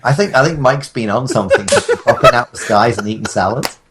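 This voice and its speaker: A man with a husky voice chatting as a podcast guest, telling rambling comic stories in halting, mock-formal, wordy phrasing. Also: mid-range voice, sing-song, very noisy. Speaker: podcast guest, audio drama creator. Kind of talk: comic casual chat, rambling storytelling.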